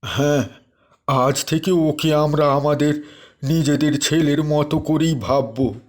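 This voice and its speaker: A man voicing a kindly elderly village father in a cartoon dub, speaking gently and earnestly. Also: fairly high pitch, fairly even intonation, slow pace. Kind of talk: cartoon dubbing.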